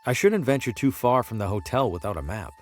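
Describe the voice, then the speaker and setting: Man acting curious, wry salesman, animated pitch. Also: a little fast, a little hiss or hum, natural voice. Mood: wry musing. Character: curious, hesitant male traveling salesman, wry and bluffing. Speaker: protagonist character. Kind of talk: video game voice acting, dialogue and musing asides.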